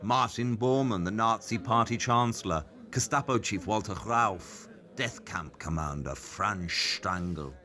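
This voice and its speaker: An actor playing a composed, commanding detective in an audio drama, explaining things with clipped authority. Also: male voice, fairly low pitch, measured pace, sharp tone. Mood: grave, analytical.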